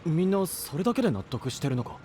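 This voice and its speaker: A blunt, brash young knight. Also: male voice, fairly high pitch, sing-song, fast, warm tone, a little hiss or hum. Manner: rough, casual, blunt. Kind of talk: anime voice drama.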